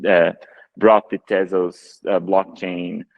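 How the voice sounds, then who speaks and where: A deep, low-pitched man with a Brazilian Portuguese accent speaks over earbuds on a video call. He is in his thirties and answers thoughtfully, with plenty of fillers and restarts. The sound is dry and close, with no room echo. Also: level tone, slow pace, creaky, narrow, tinny audio. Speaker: photographer and guest. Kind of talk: casual video call interview. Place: living room at home.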